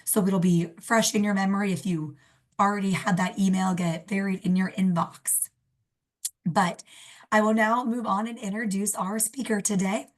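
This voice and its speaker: A young woman with a cold and a slightly raspy voice, hosting a webinar and reading announcements on a video call. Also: mid-range voice, fairly even intonation, moderate pace. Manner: friendly, scripted announcements. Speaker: program coordinator, webinar host. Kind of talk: webinar introduction. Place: indoor room, video call.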